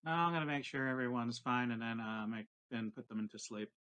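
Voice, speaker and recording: A man's voice: medium pitch, brisk, fairly bright tone, lo-fi sound, a little hiss or hum. A man playing a tabletop roleplaying game, chatting casually and jokingly with the group.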